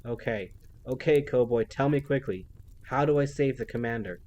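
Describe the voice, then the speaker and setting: A man's voice: mid-range voice, normal speed, darkish tone, highs cut off, lightly acted. A man voicing a determined young female elf officer who speaks urgently and defiantly.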